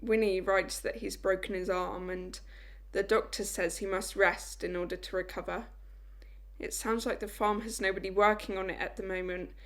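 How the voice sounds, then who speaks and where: A woman's voice: mid-range voice, natural voice. A young woman playing a blunt, dutiful wartime land girl in an audio play.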